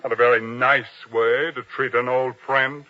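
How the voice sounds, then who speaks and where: A man's voice: mid-range voice, level tone, normal speed, natural voice. An actor playing a proud, domineering captain of a king's guard in old-time radio drama style, commanding and taunting. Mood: stern, interrogating. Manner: commanding, taunting, self-important. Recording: dull, lo-fi old radio sound.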